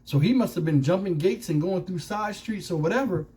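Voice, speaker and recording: Man, fairly high-pitched, mellow tone, casual commentary, colloquial, conversational. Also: brisk. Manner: colloquial, conversational, punctuated with rhetorical questions. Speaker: YouTube commentary host.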